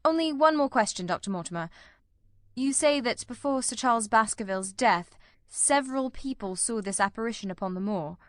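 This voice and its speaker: A woman voicing a brisk, dryly witty male detective in a Victorian story, with precise, commanding, formal speech. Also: fairly high pitch, animated, dry recording, natural voice. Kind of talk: dramatised reading of a Victorian detective novel.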